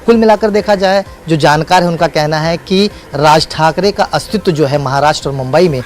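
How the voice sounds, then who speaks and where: A man's voice: on the high side, brisk, faint music behind. A man reporting live as a news correspondent, speaking unscripted in emphatic, repetitive phrases with hesitant fillers.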